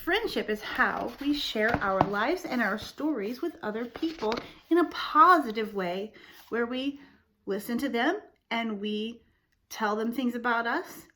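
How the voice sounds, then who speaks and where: Female storytime reader for young kids: unhurried pace, slightly high voice, expressive, explains and asks questions. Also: poor audio. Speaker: storytime host reading to children. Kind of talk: read-aloud picture-book storytelling with explanations for young children.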